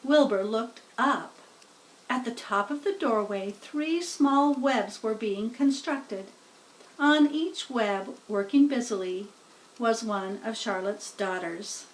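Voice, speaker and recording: High-voiced, unhurried woman reading a storybook. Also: far from the mic, low-quality recording. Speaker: storybook reader. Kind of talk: children's story narration.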